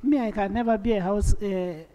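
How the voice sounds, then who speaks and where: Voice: medium pitch, fairly even intonation, fairly quick, dark tone. A presiding officer of parliament, firmly interjecting to chair the debate. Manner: firm, interjecting. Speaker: speaker of parliament.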